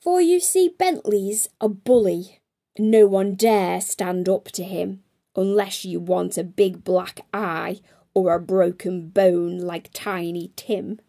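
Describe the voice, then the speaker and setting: A woman's voice: medium pitch, slow, vocal fry, mellow. A middle-aged woman hosting a children's storytime, reading a picture book aloud expressively to young listeners.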